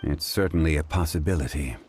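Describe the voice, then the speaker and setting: An actor playing a calm, learned, dignified gentleman in a video game, speaking in a formal, measured, grave way. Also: rumbling low, a little hiss or hum. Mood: serious. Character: calm, learned, dignified man, a composed consultant.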